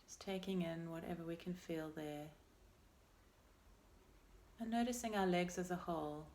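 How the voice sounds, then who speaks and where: A woman leading a guided meditation as a teacher, speaking in a gentle, unhurried and soothing way with pauses between phrases. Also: on the low side, a little hiss or hum. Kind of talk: guided meditation, body scan.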